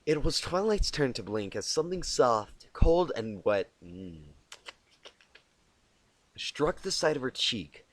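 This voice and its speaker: A man narrating a storybook tale as an amateur reader, halting and often repeating words he stumbles on. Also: mid-range voice, big pitch swings. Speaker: amateur fan fiction reader. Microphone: inexpensive home microphone.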